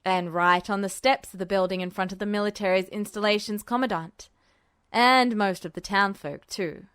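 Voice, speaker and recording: A woman's voice: mid-range voice, moderate pace, smooth, no room sound, voice pushed down, lightly acted. A woman voicing a smug, unflappable male military officer, dry and teasing as she reads the story aloud. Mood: smug, unruffled. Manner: dry, teasing, calmly commanding. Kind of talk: podfic character voice.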